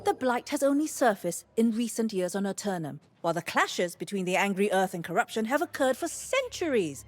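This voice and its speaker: Earnest sage, very expressive pitch. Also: female voice, a little fast. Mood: earnest concern. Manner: earnest, explanatory, concerned. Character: cautious, earnest female botanist sage of long experience. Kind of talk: video game character dialogue.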